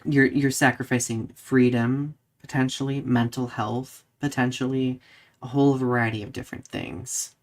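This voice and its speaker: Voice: medium pitch, steady tone, moderate pace. Casting: live podcast co-host, middle-register voice, informal discussion style, chatty phrasing. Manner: conversational, peppered with "like". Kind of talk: casual discussion, reading out live comments.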